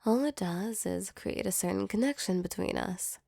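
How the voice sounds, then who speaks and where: A woman voicing a gentle, protective female demon in a soft, soothing ASMR comfort roleplay. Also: medium pitch, moderate pace, gravelly, dry recording, clean studio sound. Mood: sympathetic, caring. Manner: soothing, tender, reassuring.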